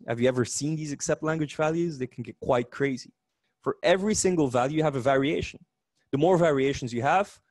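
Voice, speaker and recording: A man in his forties with a Dutch accent, a native Dutch speaker, giving a technical meetup talk in a lively, joking way with frequent restarts. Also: a little high, dry recording. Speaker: conference speaker. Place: meetup room.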